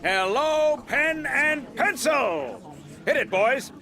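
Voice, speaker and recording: An actor playing a jovial, oblivious, self-pleased company boss in a comedy audio drama, showy and breezy. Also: male voice, very high-pitched, animated, slow pace, exaggerated voice. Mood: showy, delighted. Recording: loud music behind voice. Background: oompah band playing.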